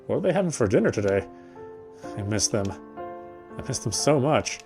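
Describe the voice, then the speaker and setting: A man's voice: mid-range voice, big pitch swings, moderate pace, music in the background. A man gaming and reading a visual novel's narration aloud, with casual asides. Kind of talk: let's play read-aloud with casual asides.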